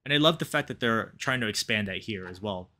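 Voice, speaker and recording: A man hosting a visual novel playthrough, talking in an expressive, emphatic and casual way. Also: on the low side, brisk. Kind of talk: dramatic read-aloud with casual commentary.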